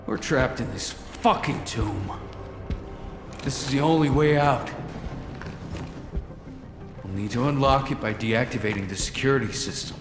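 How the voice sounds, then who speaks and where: An actor playing a tough, wary, pragmatic armed operative in a video game, speaking in a measured, hard-edged and persuasive way. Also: male voice, mid-range voice, natural voice. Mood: grim, forceful. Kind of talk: video game cutscene acting. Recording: echoey hall with long reverb, music behind.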